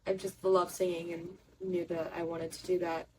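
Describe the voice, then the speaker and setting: A woman's voice: fairly low pitch, level tone, moderate pace, recorded from a distance, poor audio. Teen girl, eager chat, faint room noise. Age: teens. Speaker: young theater student, singer. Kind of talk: casual chat.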